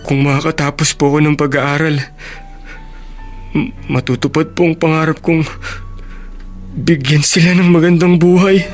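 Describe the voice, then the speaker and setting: A poor young man of radio drama, played by an actor, with a notably high voice. He is humble, earnest and deferential, full of feeling, over soft background music. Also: little pitch movement, normal speed, pauses often, close to an everyday voice. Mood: earnest, devoted. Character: humble, grateful poor young working man, devoted son. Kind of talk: Filipino radio drama acting.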